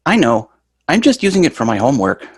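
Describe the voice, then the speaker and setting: Man reading as husky, very animated young boy. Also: mid-range voice, fast talker, no room sound, clean studio sound. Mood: eager, chirpy. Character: ten-year-old boy, eager, wisecracking schoolkid. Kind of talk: amateur sitcom table read.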